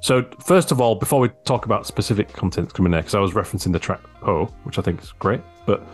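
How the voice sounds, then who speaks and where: A man's voice: fairly low pitch, fast, slightly rough, faint music behind. Chatty young male podcast host. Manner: chatty, enthusiastic, asks leading questions. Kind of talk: casual interview over video call. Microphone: large studio microphone on a video call.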